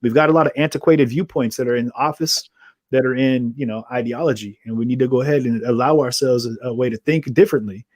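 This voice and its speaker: Male host, mid-pitched voice, earnest rambling delivery, dry close mic. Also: quick pace, a little mellow, no room sound. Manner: rambling, earnest, trails off and restarts. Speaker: podcast host. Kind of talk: conversational interview.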